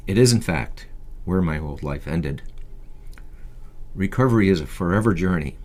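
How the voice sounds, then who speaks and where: A man in his fifties with a deep, low-pitched voice reads a personal story aloud. It is scripted first-person narration in the manner of an audiobook, delivered by the author. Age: 50s. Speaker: author reading a personal memoir.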